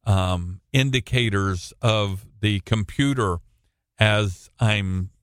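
A middle-aged American man with a booming voice, teaching from the Bible as a preacher in a halting, deliberate way with long pauses. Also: clean tone, dry recording, clean studio sound. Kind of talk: Bible reading and informal teaching with personal anecdotes. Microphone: close studio-style microphone at a computer.

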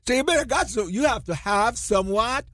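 A Jamaican man, a pastor co-hosting a radio ministry show, preaching emphatically with rhetorical questions and drawn-out words. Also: very high voice, lively intonation, a bit bright.

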